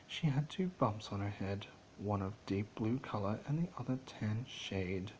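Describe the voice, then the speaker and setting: Male amateur story narrator, lowish voice, theatrical and a little halting, faintly noisy home recording. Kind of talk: dramatic storybook narration of fan fiction.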